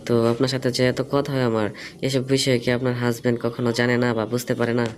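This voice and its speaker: A man's voice: mid-range voice, little pitch movement, fast talker. A man in a casual phone conversation, asking probing questions and explaining himself at length. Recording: phone call recording with faint noise.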